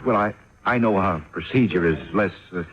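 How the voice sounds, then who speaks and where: A man's voice: deep voice, moderate pace, music in the background, natural voice. An actor playing a flustered, evasive male airline official, stammering and defensive, in an old-time radio drama. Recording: dull lo-fi old radio recording.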